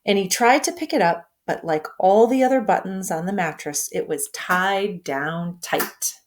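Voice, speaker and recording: Teacher reading a picture book aloud to children, expressive, engages the listener with questions. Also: female voice, medium pitch, measured pace.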